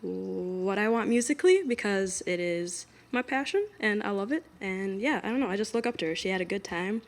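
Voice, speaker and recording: A young woman from the United States, a singer guest on a podcast, talking in a relaxed, reflective way with frequent fillers. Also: mid-range voice, moderate pace. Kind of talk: casual interview talk.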